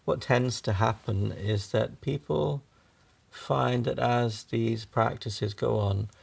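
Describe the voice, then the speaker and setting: Man, meditation guide, very gravelly creaky voice, husky, gentle, reassuring, long pauses. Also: medium pitch, fairly even intonation. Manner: unhurried, halting phrases with long pauses. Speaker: yoga nidra meditation guide.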